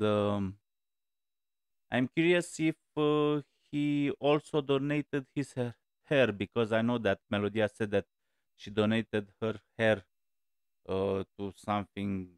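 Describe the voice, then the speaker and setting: A man's voice: medium pitch, clean tone, no echo. A man in his forties hosting a music reaction video, talking casually and hesitantly with many fillers, from a small room on a headset mic.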